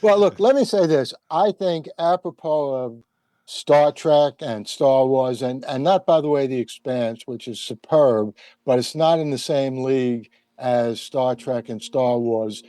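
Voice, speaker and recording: A man's voice: mid-range voice, a little slow, warm tone, no room sound. A man giving opinions as a guest in a casual roundtable discussion, with emphatic asides.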